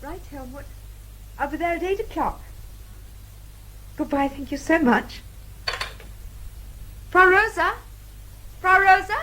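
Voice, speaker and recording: A woman's voice: very high-pitched, animated, slow pace, long pauses, sharp tone, slight background noise, exaggerated voice, low-quality recording. An actress playing a polite, plucky young British woman in a radio drama, with a well-mannered, earnest way of speaking and a British accent. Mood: bright, polite. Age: young adult.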